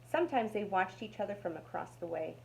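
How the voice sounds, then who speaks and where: A woman's voice: mid-range voice, lively intonation, a little fast, gravelly, darkish tone, slight background noise, rough recording. A woman narrating a children's storybook aloud as a storytime reader.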